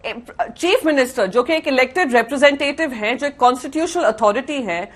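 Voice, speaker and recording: A woman's voice: high-pitched, brisk, fairly bright tone. A woman arguing a political point emphatically and indignantly, mixing English words into her speech. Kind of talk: argumentative political debate.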